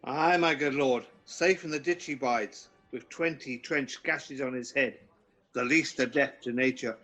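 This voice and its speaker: A man's voice: mid-range voice, measured pace, fairly bright tone, faint music behind, rough recording. A man playing a blunt hired murderer who answers to a king, in an amateur Shakespearean radio play. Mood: grim, deferential.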